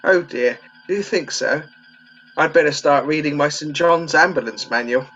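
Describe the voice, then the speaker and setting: A man playing a gentle, timid old Home Guard medic, meek and fussy, in a comedy radio play. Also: on the high side, normal speed, dull audio, faint music behind.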